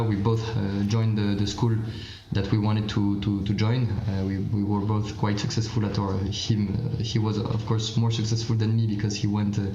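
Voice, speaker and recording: Hesitant young French man, flat low voice. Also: reverberant, dull audio, slight background noise. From France. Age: 20s. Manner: hesitant, many fillers and restarts. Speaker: startup founder and guest. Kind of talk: unscripted interview answers.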